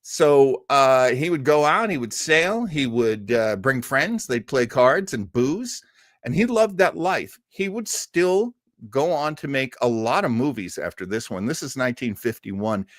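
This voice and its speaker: Animated man hosting a casual live stream. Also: medium pitch, unhurried, dry recording, studio quality. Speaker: host of a live listening party.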